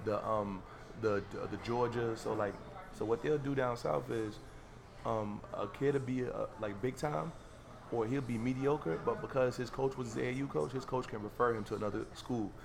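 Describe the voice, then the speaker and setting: A man's voice: medium pitch, moderate pace, creaky, crowd noise. A man in his late twenties from Florida, hosting a podcast and telling stories in a loose, rambling way with lots of fillers. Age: 20s. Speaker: podcast host. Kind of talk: casual podcast chat.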